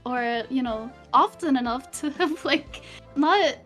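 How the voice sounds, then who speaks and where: High hesitant woman with slight German accent. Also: slow, fairly bright tone, faint music behind. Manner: hesitant, rambling, giggly. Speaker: interview guest.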